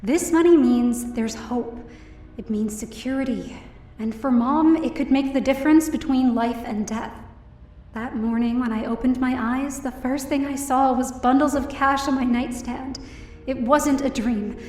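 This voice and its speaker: A high, earnest female voice as a hopeful heroine down on her luck. She tells her story expressively, as in an audio drama. The sound is echoey and set back from the mic, with some music behind. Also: measured pace, darkish tone. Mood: reflective, hopeful. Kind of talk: first-person audio drama narration and dialogue. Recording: roomy echo, distant mic, music behind.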